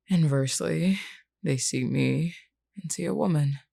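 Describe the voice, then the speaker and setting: A woman's voice: deep voice, vocal fry, darkish tone, husky, heavily acted. A woman voicing a flirty, wounded female werewolf, teasing and weary, sighing through pain in an intimate audio roleplay. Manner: teasing, sighing, calls listener hun.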